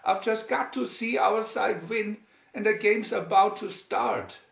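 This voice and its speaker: A man's voice: high voice, fairly even intonation, unhurried, creaky, a bit bright, pitched above the performer's own voice, heavily acted. A man voicing a bossy, impatient cartoon girlfriend who scolds and frets, in a storybook reading. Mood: anxious, excited. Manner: scolding, fretful. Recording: dull, roomy home recording.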